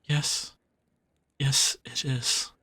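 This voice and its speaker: A man voicing a timid small fantasy creature, stammering and apologetic, with a raspy voice. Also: very slow, pushed and bright. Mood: hesitant, pleading. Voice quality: raspy, creaky. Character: timid small vermin creature pleading for sanctuary. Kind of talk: fantasy audio roleplay acting.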